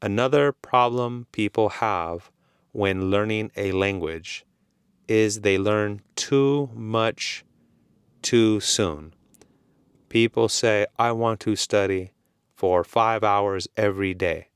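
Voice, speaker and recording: A man with a Californian accent, explaining things slowly and in plain words as an English teacher, repeating his key points. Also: lowish voice, clean tone, no room sound, clean studio sound. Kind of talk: slow, simple explanation for learners.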